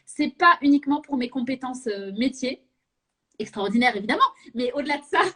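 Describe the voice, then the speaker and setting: High-voiced chatty French-speaking woman host. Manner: chatty, many fillers and restarts, laughs often. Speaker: host of a live session. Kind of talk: casual live chat.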